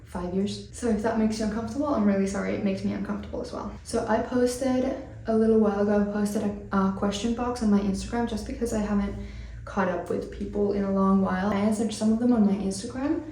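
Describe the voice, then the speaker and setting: A woman's voice: mid-range voice, steady tone, fast talker, mellow, reverberant, recorded from a distance, slight background noise, rough recording. A woman in her twenties from Finland, chatting casually and rambling with fillers while doing her makeup for a vlog. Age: 20s. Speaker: vlogger. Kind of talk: chatty get-ready-with-me vlog.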